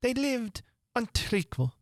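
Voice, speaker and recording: A woman voicing a sleepy male dormouse with a hoarse, feeble voice, talking slowly. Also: fairly high pitch, very animated, no room sound, studio quality, voice pushed up. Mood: drowsy. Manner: slow, dreamy.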